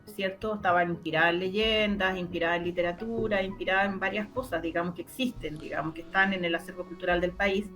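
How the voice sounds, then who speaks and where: A woman's voice: lowish voice, fairly even intonation, fast, hardly any pauses, fairly bright tone, soft background music. A Chilean woman answering interview questions conversationally, emphatic and repeating phrases for stress. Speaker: interviewed radio drama creator.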